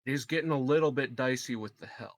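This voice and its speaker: A man's voice: medium pitch, quick pace, no echo. A man chatting casually as a player in a tabletop game, swearing now and then.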